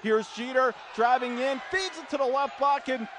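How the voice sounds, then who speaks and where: A man calling live college basketball play-by-play on the radio with excitable, projected delivery. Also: very high voice, normal speed, crowd noise. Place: courtside in an indoor college arena. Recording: loud arena noise behind the voice. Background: gym noise, bouncing basketballs.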